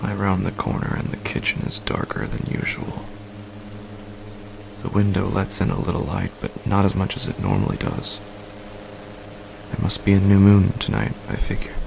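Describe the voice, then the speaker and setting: A man performs a frightened husband telling his own horror tale in the dark. His voice is very low and full of gravelly fry, breathy and halting, sinking toward a whisper. Clear noise and eerie ambience sit behind the narration. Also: sing-song, exaggerated voice. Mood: uneasy. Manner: halting, hushed, dropping into whispers. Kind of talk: scripted horror fiction narration, first person.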